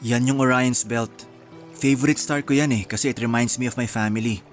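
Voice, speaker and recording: An actor with a Filipino accent playing an easygoing, sentimental young man in a radio drama, relaxed and reflective. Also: mid-range voice, normal speed, faint music behind, lightly acted. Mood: wistful. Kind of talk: Tagalog radio drama acting.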